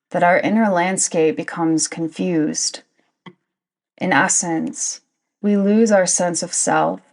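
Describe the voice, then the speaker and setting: A woman guiding a yoga nidra meditation in a soothing, deliberate manner, with long pauses between phrases. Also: on the low side. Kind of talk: guided meditation narration.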